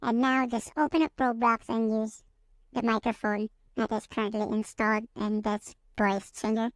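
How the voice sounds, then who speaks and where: Male host voicing a pitched-up, female-sounding voice-changer voice, matter-of-fact software tutorial delivery, dry close sound. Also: level tone, moderate pace, no echo. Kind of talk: casual step-by-step software tutorial. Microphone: USB condenser microphone, close.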